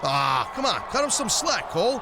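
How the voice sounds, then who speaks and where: Excited ringside commentator, very high pitch, video game, loud noisy mix. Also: male voice, normal speed, crowd noise. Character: excited male wrestling commentators.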